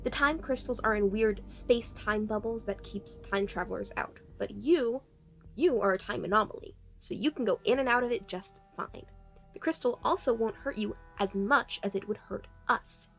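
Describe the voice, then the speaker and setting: A woman playing an exasperated, technically minded time-travel engineer in an audio drama, explaining briskly. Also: fairly high pitch, creaky, fairly bright tone, soft background music. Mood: exasperated, explanatory. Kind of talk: cinematic audio roleplay acting.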